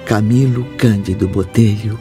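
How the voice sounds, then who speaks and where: A man's voice: fairly low pitch, fairly even intonation, unhurried. A man giving solemn, dramatic scripted narration as the narrator of a story. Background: music.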